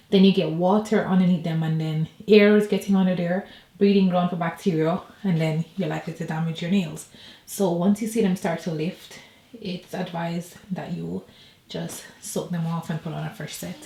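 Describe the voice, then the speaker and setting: A woman's voice: lowish voice, steady tone, normal speed, warm tone, echoey room, low-quality recording. A woman vlogger chatting casually and rambling to her viewers from home. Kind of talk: casual personal vlog chat.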